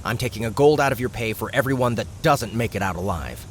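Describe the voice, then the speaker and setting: Brief: pragmatic fantasy party leader, acted by a man, lowish male voice, dry and bossy, grumbling gamer; faint noise behind. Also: expressive, fast talker, lightly acted. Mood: commanding. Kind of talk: audiobook character acting.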